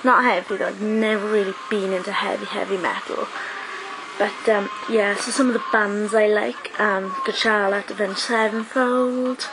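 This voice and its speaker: Gravelly young woman on a webcam. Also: medium pitch, steady tone, normal speed, background noise. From South Wales. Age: young adult. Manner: chatty, lots of ums and likes. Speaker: vlogger. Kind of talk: casual talking-to-camera vlog. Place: small room indoors. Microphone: webcam at close range.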